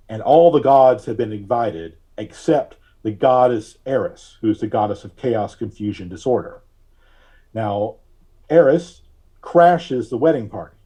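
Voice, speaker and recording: A man being interviewed as a filmmaker, telling stories in an explanatory, conversational way with drawn-out pauses. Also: medium pitch, dark tone, lo-fi sound. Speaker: guest, filmmaker.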